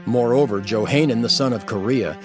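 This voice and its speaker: Low-pitched male scripture narrator. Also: a little fast, music in the background. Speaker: audio Bible narrator.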